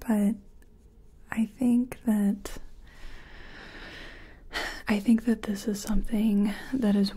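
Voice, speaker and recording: A very breathy woman speaks softly and slowly, often slipping into a whisper. Her tone is mellow and she talks in a hesitant, self-effacing, chatty way, in the style of an ASMR roleplay. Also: mid-range voice. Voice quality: soft, breathy, half-whispered. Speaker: ASMR roleplayer playing a makeup competition contestant. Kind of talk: soft-spoken ASMR roleplay.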